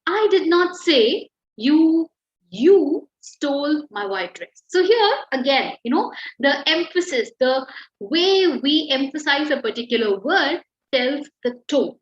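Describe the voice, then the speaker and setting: A woman's voice: high voice, expressive, slow pace. A young adult woman, teaching an online writing class and explaining patiently with examples. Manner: encouraging, explains with examples and fillers. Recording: echoey, slightly dull call audio.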